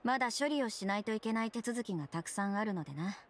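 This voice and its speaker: A woman's voice: mid-range voice, lively intonation, fast talker, close to an everyday voice. A woman playing a stern young police captain in an anime video game, speaking in a clipped, official, authoritative manner. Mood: composed, approving. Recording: game music behind the voice.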